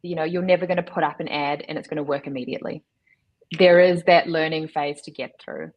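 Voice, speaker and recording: A woman, giving measured, instructive explanations as an interview guest. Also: low-pitched, steady tone, dull audio. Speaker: guest, digital agency chief executive.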